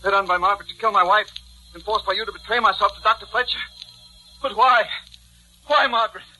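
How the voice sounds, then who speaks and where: A panicked judge turned killer, slightly high voice, melodramatic confessing and pleading, 1940s radio thriller, music behind. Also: male voice, fairly even intonation, fairly quick, pushed and bright, low-quality recording. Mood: desperate, horrified. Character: panicked, desperate male judge turned murderer.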